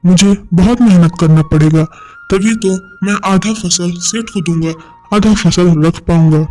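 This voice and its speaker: A man plays an honest, hardworking farmer in a village cartoon. His voice is fairly high, earnest and deferential, set over light music. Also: a little slow, darkish tone. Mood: hopeful. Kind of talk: animated moral story dialogue in Hindi.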